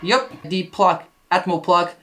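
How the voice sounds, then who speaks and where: A man's voice: high-pitched, measured pace. A man who produces music, talking through how a track was put together. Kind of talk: production walkthrough.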